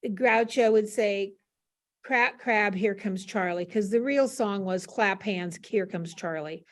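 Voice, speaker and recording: An older woman speaking as an interview guest, casually telling anecdotes on a panel with a gently teasing manner. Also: medium pitch, slow.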